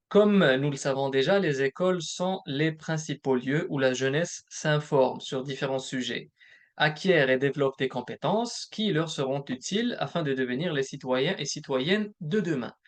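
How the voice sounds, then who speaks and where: A man presenting a recorded webinar as a program manager, explaining points one by one in a measured way. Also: a little high. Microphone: recorded presentation voice-over.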